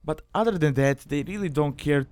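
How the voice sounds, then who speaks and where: A man's voice: on the high side, normal speed, darkish tone, smooth, dry recording. A man giving a casual explanatory voice-over as a guide narrator, in an informal, rambling way.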